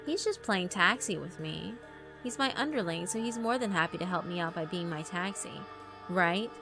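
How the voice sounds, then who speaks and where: A woman's voice: lowish voice, lively intonation, moderate pace. A woman voicing an arrogant teenage boy bully who calls himself a king, cold and boastful. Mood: smug. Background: background music.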